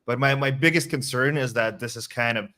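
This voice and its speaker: A man's voice: mid-range voice, brisk, pushed and bright. A man in his twenties from Estonia, a webinar guest, talking in an upbeat, direct way. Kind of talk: casual expert answers.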